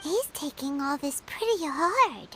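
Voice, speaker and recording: Squeaky, tiny childlike companion. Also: female voice, expressive, a little slow, bright, forceful tone, slight background noise, exaggerated voice. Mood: concerned. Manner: perky, exclamatory. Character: tiny chirpy childlike floating companion, blunt and excitable. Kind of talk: cartoonish video game acting. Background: clear background music.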